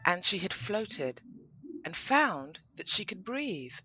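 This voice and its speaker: A woman narrating a scripted audio story as an expressive storyteller. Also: fairly low pitch, slow pace, soft background music.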